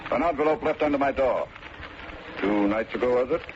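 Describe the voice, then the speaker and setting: Guy doing a windbag loan shark from a small town, pompous n loud-mouthed, old radio show, muffled with lots of noise. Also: mid-range voice, a little fast, lightly acted. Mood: haughty, evasive. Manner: pompous, florid, long-winded wording. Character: blustering pompous small-town moneylender and bookmaker, loudmouthed. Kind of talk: old-time radio drama acting. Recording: dull, noisy vintage radio recording.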